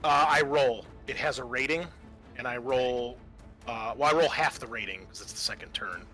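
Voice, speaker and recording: Bright-voiced man running a tabletop game. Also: mid-range voice, normal speed, soft background music. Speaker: game master.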